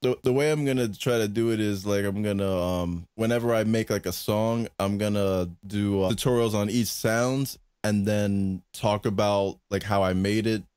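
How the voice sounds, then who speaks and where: Hesitant low-voiced man, dry sound. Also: steady tone, normal speed, few pauses, darkish tone, smooth, no room sound. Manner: hesitant, many fillers and restarts. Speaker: music producer and streamer. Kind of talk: casual chat.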